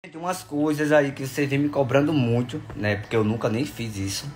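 A man's voice: mid-range voice, fairly quick, darkish tone, a little hiss or hum. A man, chatting playfully and affectionately while answering follower questions on his phone at home. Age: 20s. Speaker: social media influencer. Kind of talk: casual selfie Q&A. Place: indoors at home, bedroom and kitchen. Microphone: phone at arm's length.

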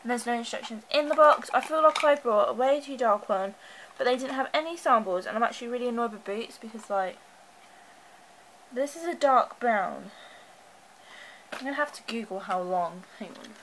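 A woman's voice: on the high side, normal speed, fairly bright tone, slight background noise, poor audio. Chatty young woman with a cold. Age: young adult. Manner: chatty, anxious, lots of "like". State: congested from a cold. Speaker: vlogger. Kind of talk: casual vlog chat to camera. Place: bedroom at home. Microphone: handheld camera close up.

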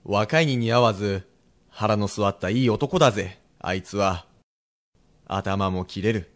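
A frank, steely merchant of old Edo, acted by a man for a period radio drama. His voice sits fairly low, and he speaks in a plain, rough townsman's way. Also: close to an everyday voice.

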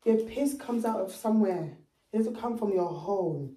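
A young woman, vlogging in loud, laughing banter full of swearing. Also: medium pitch, expressive, normal speed, roomy sound, recorded from a distance, low-quality recording. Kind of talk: casual chat.

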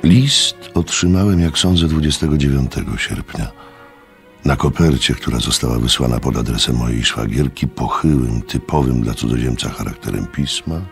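An actor playing a grave, thoughtful narrator in a radio drama, telling his story in a measured, gravelly voice. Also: very deep voice, lively intonation, faint music behind, exaggerated voice. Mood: grave, pensive. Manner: measured, deliberate. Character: grave, thoughtful male narrator recounting strange events.

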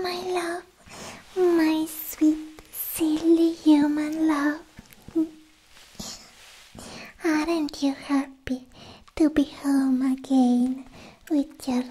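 A young woman playing a sweetly possessive, obsessed alien girlfriend in a whispered, coaxing ASMR roleplay, speaking close to the mic in halting phrases. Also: sits high, dark tone, rough recording. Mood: adoring. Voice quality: breathy, mostly whispered. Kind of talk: soft-spoken ASMR roleplay. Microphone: close ASMR microphone.

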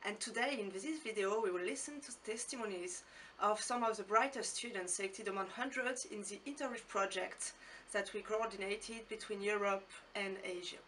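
A middle-aged woman, a deputy director, giving a steady, formal introduction. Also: medium pitch, moderate pace, far from the mic, noisy background. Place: indoors.

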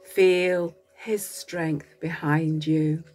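A woman narrating a guided meditation as a coach, speaking gently in short phrases with long pauses between them. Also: low-pitched, steady tone, faint music behind.

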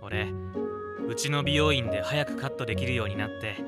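An actor playing a cocky, easygoing young hairdresser in an audio drama, talking in casual, rough masculine slang. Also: male voice, medium pitch, a little fast, lightly acted. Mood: matter-of-fact, proud. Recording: music behind the voice. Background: music.

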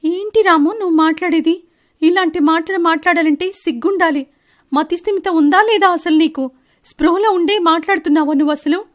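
A woman's voice: very high-pitched, brisk. A woman voicing a deceitful female bird wife in a cartoon story, defensive and protesting. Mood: indignant. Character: Chinnu, deceitful married female bird, indignant and evasive. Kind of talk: animated Telugu moral-story dialogue.

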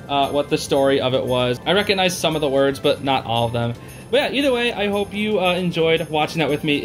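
Casting: young male reaction host, high and very lively delivery, excitable slang. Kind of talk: casual live reaction commentary. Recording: music playing behind the voice. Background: stage show music.

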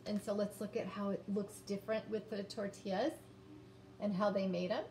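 A woman in her fifties teaching young children, sounding out words and reading aloud in a deliberate, encouraging way. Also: medium pitch, flat delivery, darkish tone. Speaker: early-grades teacher. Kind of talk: children's phonics lesson and read-aloud. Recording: roomy, distant mic, background noise.

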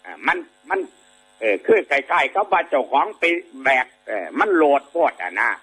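A monk with an Isan Thai accent gives a folksy sermon built around an old fable. His voice is bright and a little husky. He rambles conversationally, fills gaps with drawn-out sounds and keeps asking his listeners whether they agree. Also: medium pitch. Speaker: Buddhist monk giving a dharma talk.

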